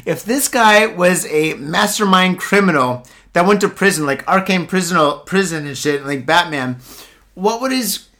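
A man's voice: sits high, expressive, normal speed, pushed and bright. A man co-hosting a casual podcast, reading news stories aloud and joking crudely with lots of laughter. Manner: laughs a lot, reads news aloud, jokey mock voices. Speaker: podcast co-host.

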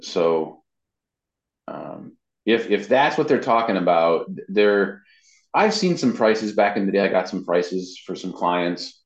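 A low-pitched American man in his fifties talks casually in an interview. His delivery wanders along in a conversational way. The recording is slightly dull and carries a clear room echo. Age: 50s. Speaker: interview guest, web marketing professional. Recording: muffled lo-fi sound in echoey room.

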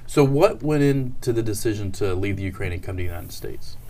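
A man's voice: lowish voice, lively intonation, brisk, warm tone. A man hosting an interview show, friendly and chatty, often stumbling and restarting his questions. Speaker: host. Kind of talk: casual interview.

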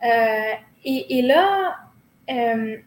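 Slow, hesitant Québécoise woman. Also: fairly high pitch, expressive. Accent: Québécois French. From Quebec. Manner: hesitant, many fillers and restarts. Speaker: guest, choreographer. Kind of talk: reflective interview talk. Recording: roomy recording, faint noise.

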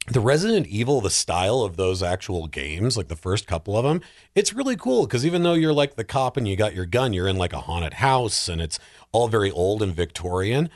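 A man talking casually and emphatically as a podcast panelist, giving animated opinions on movies and games. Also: on the low side, brisk, no room sound, clean studio sound. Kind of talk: casual film and game discussion.

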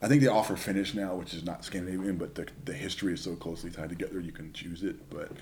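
A young man chatting casually as a podcast guest, telling stories in a relaxed, rambling way. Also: deep voice, fast talker, a little hiss or hum. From Northern California. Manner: chatty storyteller. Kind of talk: casual chat.

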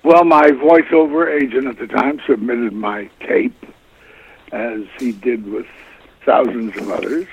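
Older male actor guest, gravelly voice, halting storyteller, heard over a phone line. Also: medium pitch. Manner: rambling, halting, many fillers and restarts. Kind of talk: relaxed interview storytelling.

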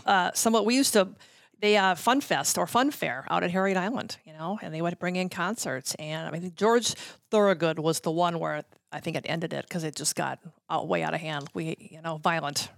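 A middle-aged American woman reminiscing casually in a chatty, halting way that often trails off. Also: on the low side, vocal fry, dry recording, studio quality. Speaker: guest recounting concert backstage stories.

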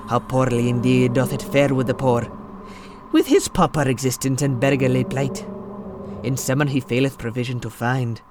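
A man's voice: mid-range voice, background noise, natural voice. An actor playing a very old, poor fisherman, lamenting in declamatory archaic verse for an audio drama.

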